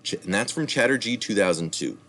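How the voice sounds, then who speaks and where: A man's voice: fairly low pitch, expressive, brisk, fairly bright tone. A man reading a science article aloud, adding the citations and the odd slip or aside. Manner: reads citations aloud with small slips and asides. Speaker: reader of health articles.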